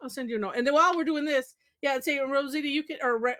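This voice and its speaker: A high-voiced woman hosts an online drawing class over a video call. She is chatty and a little scattered, breaking off into exclamations while she works. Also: racing, pushed and bright, dry recording, studio quality. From Michigan, USA. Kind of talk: casual online class chat.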